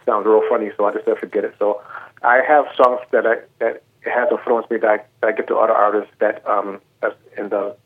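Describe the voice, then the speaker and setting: A choir director from Puerto Rico, interviewed over the phone, talking with many ums and restarts. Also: male voice, on the low side, flat delivery, a little fast. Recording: tinny phone line.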